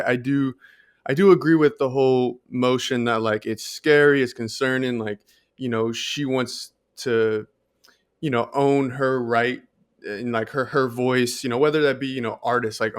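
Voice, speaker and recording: Male podcast co-host, clear resonant voice, fairly flat pitch, casual and halting delivery. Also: dry recording, clean studio sound. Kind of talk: casual chat.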